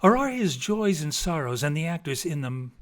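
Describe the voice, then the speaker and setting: A man reading a classic story aloud in a theatrical, expressive and deliberate literary style. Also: fairly high pitch. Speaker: storybook reader.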